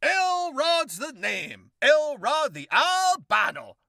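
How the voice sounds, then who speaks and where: A pompous blowhard king with a Southern US drawl, as a performer puts him on, booming and laughing at his own jokes. The voice rides high and bounces around, bright and close in a dry room. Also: sing-song, slow, creaky, dry recording, full character voice. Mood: blustering. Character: pompous self-proclaimed king, male, blustering.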